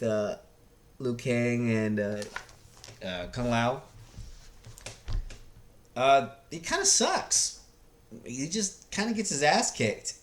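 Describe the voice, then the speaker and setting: A man in his thirties hosting a casual, rambling review in a halting, searching way, with laid-back slangy enthusiasm. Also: medium pitch, a little hiss or hum. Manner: hesitant, halting, searching for words. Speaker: video host reviewing films. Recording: roomy, echoey sound.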